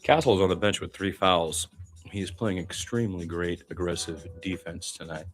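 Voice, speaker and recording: Low-voiced man calling basketball play-by-play. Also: normal speed, soft background music. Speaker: radio play-by-play announcer. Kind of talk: basketball play-by-play commentary, informal.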